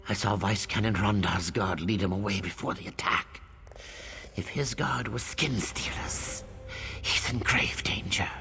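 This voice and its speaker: A man voicing a cocky but earnest and loyal lizardfolk scout and tracker in a video game, with a gravelly voice. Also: lowish voice, unhurried, sharp tone, full character voice. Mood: alarmed, urgent. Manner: tags sentences with a questioning "yes?". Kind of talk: video game character dialogue. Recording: game music under the voice.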